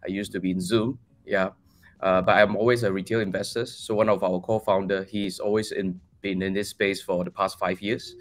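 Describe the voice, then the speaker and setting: A man's voice: sits low, little pitch movement, moderate pace. A man in his forties with a Malaysian accent, answering interview questions casually over a video call. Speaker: guest, crypto project team member. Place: bright room at home, video call.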